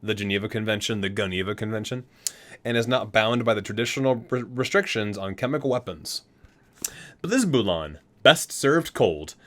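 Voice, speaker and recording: A man's voice: on the low side, animated, moderate pace, fairly bright tone. A man reading aloud in an ASMR style, stumbling over words and correcting himself between casual asides. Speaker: ASMR video host.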